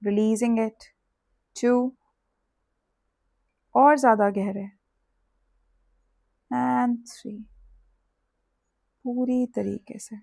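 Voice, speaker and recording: An Indian-accented woman guides listeners toward sleep. Her delivery is extremely slow and soothing, with long pauses between short phrases. The voice has a slight creak and sits close and dry, with very little room sound. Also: fairly high pitch, steady tone, a little mellow, no echo. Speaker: hypnosis and meditation guide. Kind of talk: guided sleep hypnosis with body scan.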